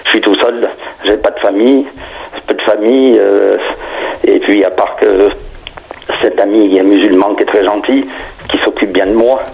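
A man's voice: on the low side, steady tone, normal speed, gravelly, pauses often, breathy edge, dull audio. A man in his sixties from Sicily, calling in to a radio show, talking hesitantly and stammering. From Sicily, Italy. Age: 60s. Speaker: caller. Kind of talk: call-in radio chat.

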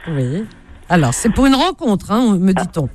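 A woman's voice: medium pitch, very animated, unhurried. A woman hosting a radio call-in, chatting casually and familiarly, often repeating back what she is told. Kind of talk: casual live radio chat.

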